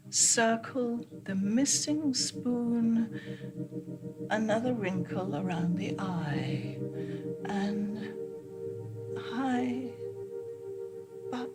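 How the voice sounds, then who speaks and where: An actress playing an unsettled, obsessive woman in a theatrical monologue, halting, breaking off and repeating herself. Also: mid-range voice. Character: unsettled woman convinced reality keeps shifting, halting and obsessive.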